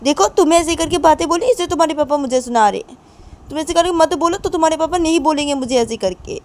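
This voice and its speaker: A woman's voice: high-pitched, fast, darkish tone, lightly acted. Woman playing a hurt, reproachful mother. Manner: repetitive, self-blaming, admonishing. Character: hurt, reproachful mother gently scolding a child. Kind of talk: amateur story acting.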